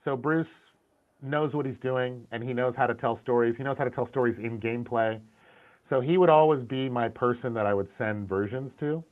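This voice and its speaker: A middle-aged man in a casual interview speaks candidly and with energy, often restarting mid-sentence. His voice sits at an ordinary pitch. The sound is thin and tinny, like a video call over a phone line. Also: brisk. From Syrian, grew up in the US. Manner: candid, animated, frequent restarts and repeated words. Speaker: guest, concept art and animation director.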